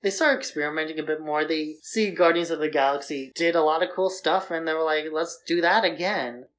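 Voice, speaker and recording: A man's voice: on the high side, a little fast, fairly bright tone. A man talking casually as the co-host of a podcast, in an informal discussion of films.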